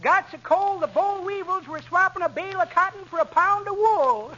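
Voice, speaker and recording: Folksy old-timer, very high and animated, rustic tall-tale teller for radio comedy, dull noisy old recording. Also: male voice, exaggerated voice. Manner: drawling, rustic, teasing. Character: wheezy, folksy old man spinning tall yarns. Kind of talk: 1940s radio comedy acting.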